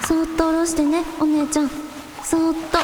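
An earnest little sister, acted by a woman, speaks in a very high, youthful voice. She is urgent and decisive, in radio drama dialogue with light music underneath. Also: level tone, fairly quick. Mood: careful. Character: earnest, decisive young girl, the younger sister.

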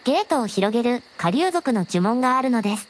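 A woman playing a gentle, very polite dragon princess with a softly teasing manner in a scripted audio drama. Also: a little high, sing-song, fast talker. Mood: polite, serene. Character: gentle polite dragon princess, a shrine maiden's alternate self.

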